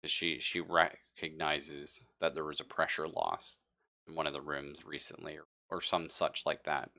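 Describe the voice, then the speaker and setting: A man with a low, bright voice runs a tabletop roleplaying game as game master. He improvises casually, pausing to think aloud and sometimes swearing. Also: little pitch movement, creaky. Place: live online stream.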